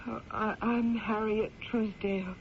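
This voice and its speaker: Casting: young widow in a western radio play, frail, distraught, halting delivery, dull noisy sound. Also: female voice, on the high side, steady tone. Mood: weary, grateful. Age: young adult. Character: frail, exhausted young widow from back east. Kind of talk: old-time radio drama acting. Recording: muffled, noisy radio drama recording.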